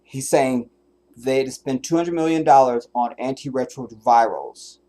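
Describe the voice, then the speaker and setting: A man's voice: medium pitch, moderate pace, mellow. A man streaming commentary over a clip, blunt and emphatic, swearing freely. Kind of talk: profane reaction commentary.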